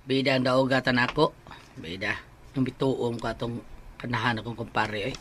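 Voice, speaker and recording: A man playing an excitable, aggrieved husband and luckless bettor in a comic radio drama, with a Cebuano accent and whiny, exclamatory complaints. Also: medium pitch, normal speed, soft background music, natural voice. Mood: sulky.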